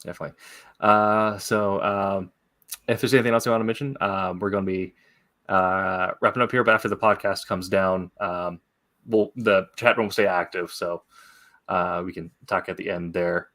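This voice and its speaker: A man hosting a podcast in a casual, halting way, with many pauses as he goes. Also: sits low, fairly even intonation, fairly bright tone. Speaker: podcast host.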